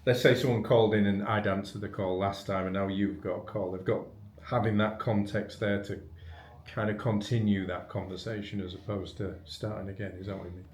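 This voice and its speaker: Echoey, lowish male webinar host. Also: flat delivery, fairly quick, a little mellow, slight background noise. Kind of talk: unscripted business discussion. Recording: echoey room.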